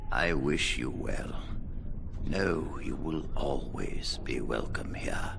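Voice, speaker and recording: An actor playing a kindly, dignified elderly head mage in a video game, speaking in a measured, scholarly way. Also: male voice, background noise, heavily acted. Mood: pensive, warm. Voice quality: deep, gravelly, breathy at times.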